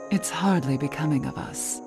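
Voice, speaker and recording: A low, unhurried, somber game witch. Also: music in the background. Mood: rueful. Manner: measured, earnest, questioning. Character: somber cursed witch, adult woman, gently wry. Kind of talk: video game cutscene acting.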